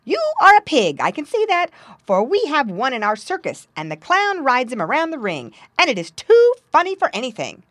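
A woman voicing a merry, boastful little male circus monkey in a storybook, chatty, excitable and knowing. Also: sits high, moderate pace, no echo, clean studio sound, pitched above the performer's own voice, full character voice.

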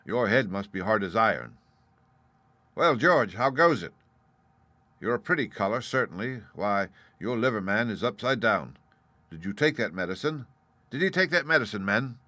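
A man voicing a brisk, educated gentleman doctor with a breezy, dryly mocking manner in an audiobook. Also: fairly low pitch, lively intonation, dry recording. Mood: breezy, cheerful. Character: brisk, cheerful, scolding gentleman ship's doctor.

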